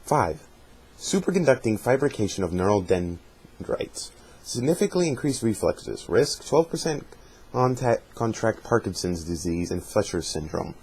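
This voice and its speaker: A man giving a measured dramatic reading of a journal, sometimes stumbling over technical words. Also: fairly low pitch, big pitch swings, darkish tone, husky, poor audio. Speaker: amateur reader of fan fiction journal.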